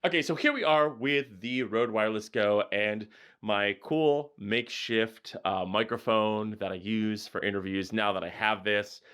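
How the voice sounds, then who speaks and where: A man with a deep booming voice giving a chatty, enthusiastic camera gear review, recorded on a changing mix of camera, lapel and wireless mics. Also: unhurried, fairly bright tone, smooth. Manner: enthusiastic, chatty with many fillers. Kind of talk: casual product review. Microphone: changing mics: built-in camera mic, lapel and wireless mic.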